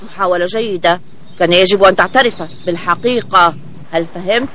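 A man's voice: high-pitched, brisk, a crowd in the background, natural voice. A man voicing an earnest, put-upon bird hero in a dubbed children's cartoon, speaking expressively. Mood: confident, calm.

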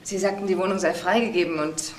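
A woman's voice: on the low side, steady tone, quick pace, close to an everyday voice. An actress playing a polite, slightly flustered woman in a radio crime drama, speaking a little hesitantly. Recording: roomy echo, background noise.

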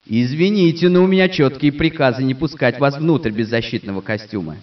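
A man's voice: medium pitch, brisk, recorded from a distance, lo-fi sound, natural voice. An actor playing a hesitant, apologetic male security guard in a game.

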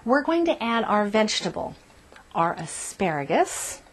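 A woman's voice: mid-range voice, big pitch swings, measured pace, noisy background. A middle-aged woman hosting a cooking show, explaining each step in an upbeat scripted way from a small RV kitchen on a lapel mic.

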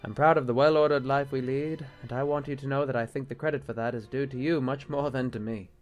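A man's voice: medium pitch, fairly even intonation, fast talker, lo-fi sound, soft background music, natural voice. Stiff, anxious bishop, played by a man. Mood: awkwardly earnest. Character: stiff, exasperated, anxious male bishop and husband. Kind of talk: film-adaptation audio drama acting.

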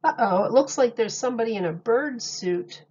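A woman reading a picture book aloud as a teacher, with simple, deliberate phrasing and questions for young listeners. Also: fairly high pitch, expressive, a little mellow, slightly rough, lo-fi sound. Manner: simple, deliberate phrasing addressed to small children.

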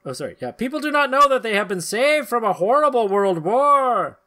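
A man's voice: very high voice, very animated, brisk, sharp tone. A man in his thirties or forties hosting a comedy podcast, bantering and reading narration in mock-dramatic style. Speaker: podcast host. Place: small room at home, video call.

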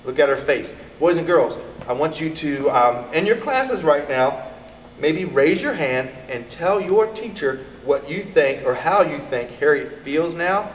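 A man's voice: on the high side, unhurried. Echoey middle-aged American man reading to children. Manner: expressive, addresses children directly. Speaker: elementary school educator reading to pupils. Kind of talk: children's picture book read-aloud. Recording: echoey, distant mic, background noise.

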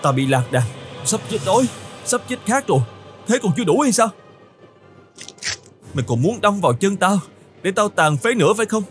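A man's voice: sits high, sing-song, normal speed, pauses often, faint music behind. A man voicing an anxious young male cartoon camel in a whiny, exclamatory, melodramatic way. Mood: angry. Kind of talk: cartoon voice acting.

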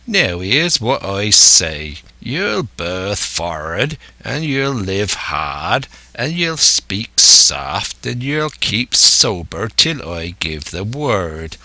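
Man voicing a slow, forceful, scheming pirate ringleader. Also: on the low side, sing-song, no echo, full character voice. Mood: commanding. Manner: emphatic, scornful, salty seafaring dialect. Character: scheming, domineering older male pirate ringleader.

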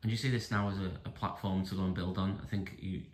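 A man asking a football manager steady post-match questions for the club's media. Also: sits low, fast, echoey room. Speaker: club media interviewer. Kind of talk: interview questions.

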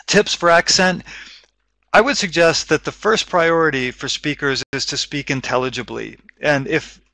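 A middle-aged man leads an English-teaching webinar from a home office. His voice is bright and slightly high, dry and close on a headset mic, and he explains steadily with small restarts. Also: gravelly, no room sound, rough recording. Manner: measured, explaining with frequent restarts. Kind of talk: educational webinar talk.

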